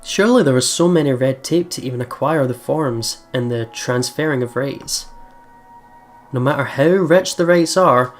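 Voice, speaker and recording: A man's voice: mid-range voice, normal speed, faint music behind. A man hosting a let's play, commenting and reading the story text aloud. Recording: clearly noisy background.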